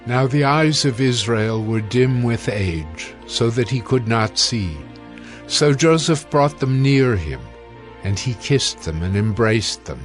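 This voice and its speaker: A man narrating scripture from a script in a measured, formal manner. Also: mid-range voice, animated, soft background music.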